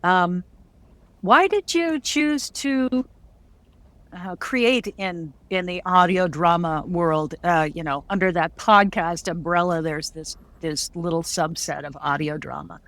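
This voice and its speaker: A woman's voice: lowish voice, slow, a bit bright, no echo. A woman hosting an interview, asking questions in a conversational, rambling way. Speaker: interviewer host.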